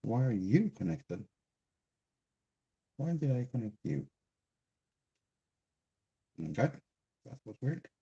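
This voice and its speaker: A man with a Swedish accent, native Swedish, chatting slowly and hesitantly on a crafting live stream, with frequent restarts. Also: mid-range voice, sing-song, gravelly, dark tone, slightly rough. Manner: slow, hesitant, rambling with many restarts. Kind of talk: casual live-stream chat while crafting. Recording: dull lo-fi stream audio.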